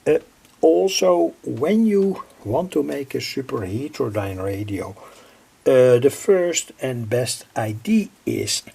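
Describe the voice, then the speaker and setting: A man presents a technical how-to in an informal, halting style. His pace is very unhurried and his voice is rough and husky, with plenty of fry in it. He leans often on short filler words as he explains. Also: mid-range voice, animated, mellow. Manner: halting, repeats filler phrases like "say". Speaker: presenter of electronics project videos. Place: at home, indoors.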